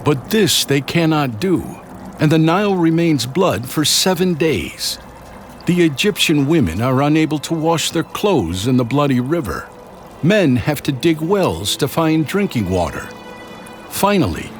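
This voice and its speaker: A man narrating a scripted children's story in a dramatic, expressive way. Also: fairly high pitch. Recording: loud music behind the voice. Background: music.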